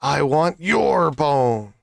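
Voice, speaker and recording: A man's voice: on the high side, expressive, very slow, vocal fry, no echo, studio quality, exaggerated voice. A man voicing a greedy, covetous dog with a demanding, barking manner in a children's fable.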